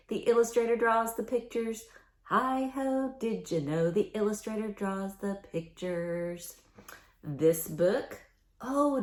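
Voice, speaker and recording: A woman reading aloud at storytime for young children in a sing-song manner, often asking questions and repeating lines. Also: mid-range voice. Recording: roomy, echoing sound.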